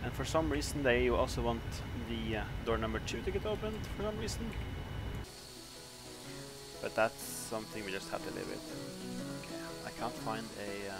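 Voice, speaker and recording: A young man with a Norwegian accent casually commenting on his flight-sim gameplay, relaxed and rambling with lots of fillers. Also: mid-range voice, normal speed. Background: music playing underneath.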